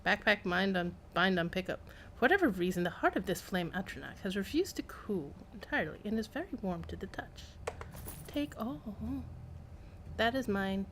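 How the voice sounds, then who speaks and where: A woman's voice: mid-range voice, expressive, moderate pace, a bit bright, a little hiss or hum. A woman giving casual live commentary as she streams a game, in a wry, playful, rambling way.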